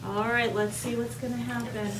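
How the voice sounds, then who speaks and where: Female kindergarten teacher for an interactive read-aloud. High-pitched, simple instructions with regular check-in questions, picked up from a distance. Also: little pitch movement, normal speed. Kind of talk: interactive read-aloud lesson to young children. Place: kindergarten classroom with children. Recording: distant mic, clearly noisy room ambience.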